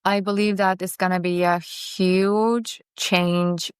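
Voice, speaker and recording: A woman's voice: lowish voice, level tone, clean tone, no echo, clean studio sound. A young woman from Turkey, giving earnest, optimistic commentary as a vlogger reacting to a podcast. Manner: earnest, optimistic, speaks in short phrases with pauses. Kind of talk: casual video commentary.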